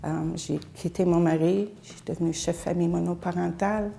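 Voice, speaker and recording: An older woman whose first language is English, from Alberta, giving an earnest, halting personal testimony as a feminist activist. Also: fairly low pitch, fairly even intonation, creaky, dark tone, slight background noise. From Alberta, Canada. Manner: earnest, halting with frequent pauses.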